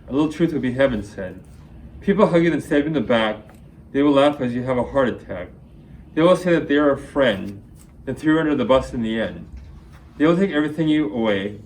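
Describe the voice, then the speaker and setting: A man recites rhyming spoken-word verse in the manner of an amateur poet at a reading. His voice sits at a medium pitch, and there is faint noise in the background. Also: animated, fairly quick, pauses often, a little mellow.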